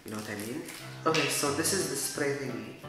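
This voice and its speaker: Teen boy, Arabic accent, rambling and goofy, boomy echoing room, music behind. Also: a little fast. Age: teens. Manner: goofy, rambling, self-mocking. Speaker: YouTuber. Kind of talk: casual DIY vlog. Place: small room, indoors. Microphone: camera mic at close range.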